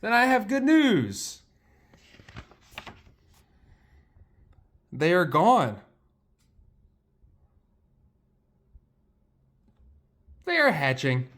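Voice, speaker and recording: A man voicing a calm, matter-of-fact little girl pig from a picture book, obliging in manner. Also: sits high, a little slow, exaggerated voice. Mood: informative.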